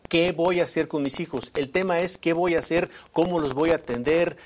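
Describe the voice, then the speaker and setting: A Mexican man with a fairly high voice, commenting on the news in a conversational way. He is emphatic, repeats his points and keeps tagging questions onto statements. Faint noise sits behind him. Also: fairly quick. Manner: emphatic, repetitive, seeks agreement with tag questions.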